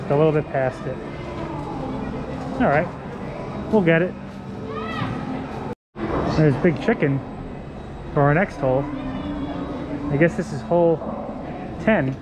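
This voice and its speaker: A man's voice: on the high side, takes it slowly, long pauses. A man casually narrating a game of indoor mini golf, chatty and upbeat, laughing often. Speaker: vlogger. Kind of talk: casual vlog commentary while playing mini golf. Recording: dull lo-fi camera audio with loud crowd and noise.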